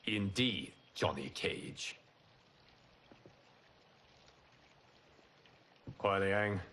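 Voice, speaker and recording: An actor playing a solemn, commanding fire god and wise protector, speaking gravely and formally in a video game cinematic. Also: male voice, fairly low pitch, expressive, slow, fairly bright tone.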